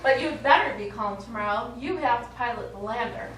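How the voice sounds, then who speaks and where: A brash, excitable woman astronaut played by a stage actress with a high, sardonic voice. She is heard from far off in an echoey hall with faint noise. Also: brisk, lightly acted. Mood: sardonic, fond. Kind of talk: stage acting.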